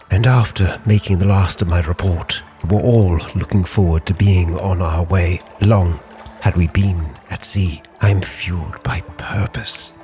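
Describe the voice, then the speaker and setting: A man playing a grave, driven Victorian sea captain, solemnly narrating his log with theatrical, weighty pauses over music. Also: low voice, a little mellow. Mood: brooding, determined. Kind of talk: dramatic scripted storytelling, ship's log in character.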